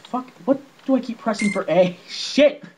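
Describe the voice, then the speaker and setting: A man's voice: very high-pitched, moderate pace, darkish tone, slight background noise. A young man casually commentating a video game with friends, joking, swearing and reading lines aloud. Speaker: let's play host. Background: video game music.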